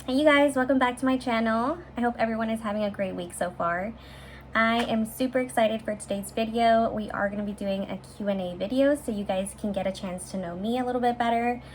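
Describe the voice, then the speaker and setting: A woman's voice: on the high side, brisk. A woman in her twenties from San Francisco, chatting upbeat and casually as she answers viewer questions in her own vlog, with some fillers and restarts. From San Francisco, USA. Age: 20s. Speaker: vlogger. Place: her bedroom, heater running. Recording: faint heater hum in room.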